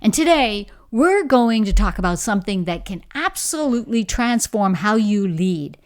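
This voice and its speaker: Woman, Bahamian accent, middle-aged, encouraging leadership coach, very animated pitch, medium-pitched voice. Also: normal speed. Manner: warm, encouraging, emphatic storytelling. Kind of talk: motivational talk to camera.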